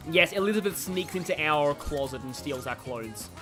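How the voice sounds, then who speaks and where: Streamer rambling away casually while gaming, mid-pitched voice, game music in the back. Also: expressive, moderate pace. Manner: rambling, chatty, reacts aloud to the game. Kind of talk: casual livestream commentary while playing a video game.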